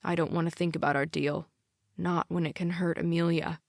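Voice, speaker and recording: A woman's voice: on the low side, flat delivery, a little fast, vocal fry, no echo. A sarcastic young man as a woman reads him in audiobook dialogue. He is defiant and combative toward authority, and the sound is close and dry. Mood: resentful.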